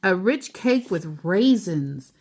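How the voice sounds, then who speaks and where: A woman reading a children's book aloud as a storyteller, deliberately, pausing between short phrases and adding casual asides. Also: lowish voice, big pitch swings, darkish tone, breathy edge.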